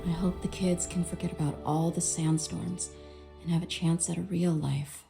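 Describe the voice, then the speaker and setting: An actress playing a tough, protective young desert mercenary in an anime game trailer, calm, self-assured and blunt. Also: female voice, lowish voice, moderate pace, vocal fry. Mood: hopeful. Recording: music over dialogue. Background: trailer score.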